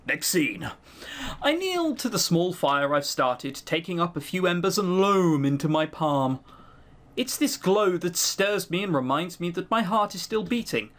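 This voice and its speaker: A man's voice: on the high side, a bit bright. A man giving let's-play commentary, reading game text aloud and breaking off for dry, sweary, critical asides.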